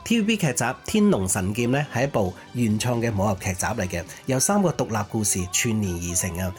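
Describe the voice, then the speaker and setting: A man's voice: lowish voice, sing-song, a little fast. A man chattily co-hosting a music nostalgia show, sharing conversational commentary. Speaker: co-host of a Cantopop nostalgia show.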